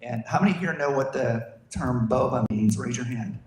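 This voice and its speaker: A man's voice: mid-range voice, moderate pace. Confident mortgage man, echoey room. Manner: confident, engages the audience. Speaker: mortgage lender. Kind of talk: self-introduction at a meeting. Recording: echoey room, faint noise.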